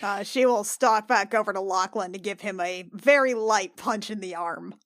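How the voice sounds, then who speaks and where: A woman takes part in a tabletop role-playing game in a medium-pitched voice. She chats casually and describes her character in an emotive, halting way, recorded dry without room sound. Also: fairly bright tone, no echo.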